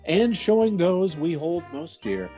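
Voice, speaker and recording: A man's voice: a little high, sing-song, unhurried. A man announcing a radio theater show in a scripted, presentational style. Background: music with jingle bells.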